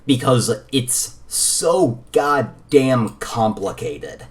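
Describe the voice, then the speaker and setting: Male co-host, mid-range voice, casual gaming discussion, halting delivery with repeated phrases. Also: medium pitch, sing-song. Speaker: podcast co-host.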